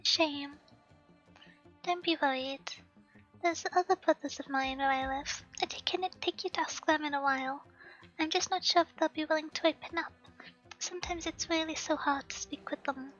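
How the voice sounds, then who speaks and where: Hoarse lady reading as a soft earnest village girl, high voice, kinda dull audio w/ faint music. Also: fairly even intonation, moderate pace. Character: earnest young village girl, gentle and devout.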